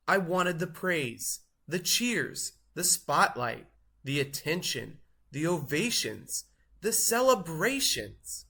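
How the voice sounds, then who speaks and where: A man voicing a boastful, show-off cheese in a theatrical, grandiose storybook read-aloud with drawn-out emphasis. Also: a little high, bright, forceful tone, full character voice. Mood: proud reminiscing.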